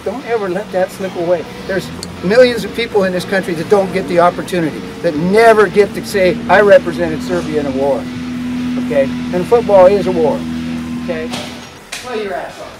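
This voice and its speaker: Emphatic coach over guitar music. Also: male voice, sits high, a little fast, low-quality recording. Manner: emphatic, profane, punctuated with short checks. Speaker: football coach. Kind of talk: motivational pre-game team talk.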